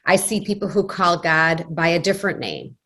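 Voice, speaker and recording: Woman, low-ish voice, solemn poem reading, measured. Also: animated, fairly bright tone, slightly rough. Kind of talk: reciting a poem on video.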